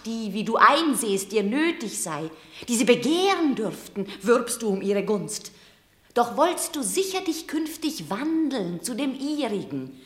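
A commanding, shrewd Roman matriarch in a classic radio play. Her voice is fairly high and very slow, declaiming with urgent, imperious persuasion in a roomy space. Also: fairly bright tone, heavily acted. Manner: imperious, pleading stage declamation. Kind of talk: classic German radio drama acting. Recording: roomy radio drama studio sound.

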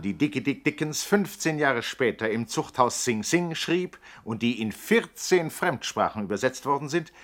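An actor as a formal chronicler of a crime saga, narrating a 1960s radio play with deadpan, mock-serious precision. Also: male voice, mid-range voice.

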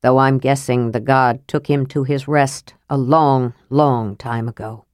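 Woman voicing a gruff, low-pitched old dwarf. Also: a little slow, dry recording, studio quality. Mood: solemn. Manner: gruff, firm, terse. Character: gruff, grim, stubborn old male dwarf. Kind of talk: fantasy audiobook character voice.

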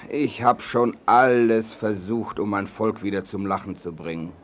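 Ein Schauspieler spricht einen gütigen, besorgten und etwas naiven Märchenkönig in einem Kinderhörspiel, bedächtig und theatralisch staunend. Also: male voice, lowish voice, fairly even intonation, normal speed, natural voice. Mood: worried. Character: kindly, worried, naive king of a fairy-tale land. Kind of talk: children's audio drama.

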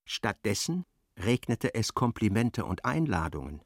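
A man narrating a literary radio play in a measured, dryly ironic way. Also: lowish voice, very animated, no room sound, clean studio sound, heavily acted.

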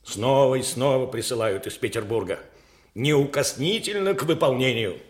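Актёр играет молодого, преданного и встревоженного князя-офицера в советской радиопостановке. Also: male voice, mid-range voice, lively intonation, normal speed, lightly acted. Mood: worried, respectful. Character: young, loyal, troubled prince and army officer. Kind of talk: 1980s Soviet radio drama acting.

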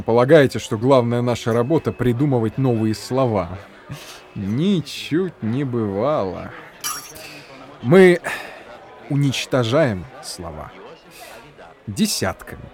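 An actor playing a zealous, pedantic party philologist in a radio drama, savoring cruelty and lecturing with hesitant fillers. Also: male voice, medium pitch, darkish tone, natural voice. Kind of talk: Russian radio drama acting.

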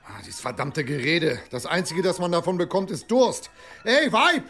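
Hot-headed villager, voice leaping about. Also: male voice, high-pitched, very animated, normal speed, fairly bright tone, soft background music. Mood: angry bluster. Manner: blustering, impatient. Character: gruff, hot-headed, thirsty heathen villager. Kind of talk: radio drama acting.

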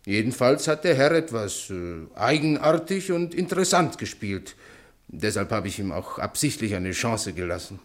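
An actor playing an aloof, condescending chess champion in a radio drama, with a stiff, formal manner. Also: male voice, lowish voice, sing-song, normal speed.

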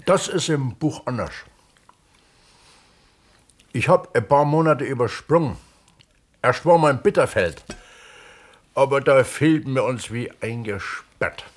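A modest middle-aged villager in a radio drama. He speaks in plain, simple words with a halting, thoughtful delivery. His voice sits at an ordinary male pitch. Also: animated. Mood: candid. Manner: halting, plain-spoken, hesitant. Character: modest, thoughtful resettled villager who wrote a novel. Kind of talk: naturalistic radio play dialogue. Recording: 1970s East German studio radio drama.

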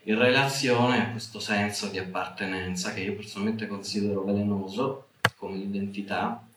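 A man's voice: lowish voice, steady tone, moderate pace. A man giving a formal, intellectual talk, hesitating as he searches for words. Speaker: conference speaker, writer and documentary maker. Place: conference room. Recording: echoey room, distant mic, faint noise.

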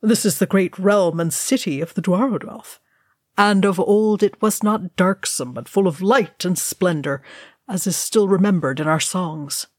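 A woman's voice: fairly low pitch, measured pace, no room sound, studio quality. A woman voicing a proud, reverent male dwarf in a storybook, solemn and serene.

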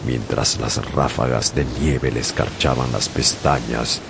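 An actor playing a sailor who narrates a whaling tale in a radio drama, in a grave storytelling manner. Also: male voice, extremely low pitch, fairly even intonation, a little slow, gravelly, background noise, heavily acted. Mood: grave, suspenseful. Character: male sailor narrator recalling a doomed whaling voyage. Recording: radio drama with music behind.

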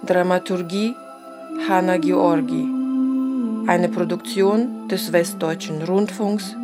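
A woman reading scripted radio drama credits in a measured, formal way, with music behind her. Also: fairly low pitch, fairly even intonation. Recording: music bed under voice.

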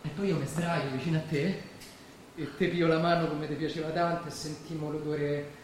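A young actor with a Roman accent playing a devoted, boastful working-class boyfriend in a stage drama, colloquial and earnest. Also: male voice, a little high, little pitch movement, moderate pace, recorded from a distance, natural voice. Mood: tender dreamy. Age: young adult. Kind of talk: naturalistic stage drama acting. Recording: very echoey hall from afar, faint noise.

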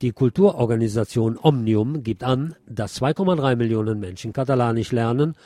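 A man's voice: medium pitch, a little fast, mellow, no echo. A man presenting scripted news on the radio, reading as a presenter.

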